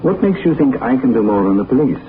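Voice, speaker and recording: Guy playing a posh gentleman sleuth, clipped talk, old scratchy radio sound. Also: medium pitch, big pitch swings, fast, close to an everyday voice. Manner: polished, clipped upper-class diction. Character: refined gentleman detective. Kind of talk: 1940s radio acting. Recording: scratchy, tinny 1940s radio broadcast.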